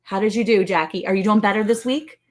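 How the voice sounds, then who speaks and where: A woman's voice: medium pitch, quick pace, darkish tone. Chatty woman in her forties. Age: 40s. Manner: chatty, teasing, greets viewers by name. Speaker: co-host of a ranch livestream. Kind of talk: casual livestream chat.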